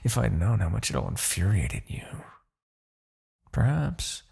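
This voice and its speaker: A man voicing an arrogant, brooding demon king in a fantasy ASMR roleplay, condescending and theatrical, with a husky, gravelly low voice and pauses. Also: wildly swooping pitch, darkish tone, exaggerated voice. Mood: brooding, bitter. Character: arrogant demon king, gloating yet begrudgingly attached captor.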